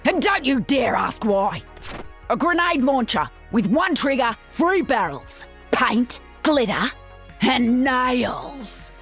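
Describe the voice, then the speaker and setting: A bossy wasteland woman from a video game. Her voice is high and very animated, with drawn-out, demanding delivery. Faint music sits behind her. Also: full character voice. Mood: brash, demanding. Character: brash, bossy wasteland woman giving orders. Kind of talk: video game character voice lines.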